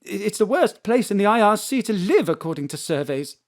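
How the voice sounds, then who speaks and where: An actress playing a brisk female city mayor who speaks formally and politely, then bluntly. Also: mid-range voice, very animated. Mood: businesslike. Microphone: home studio mic.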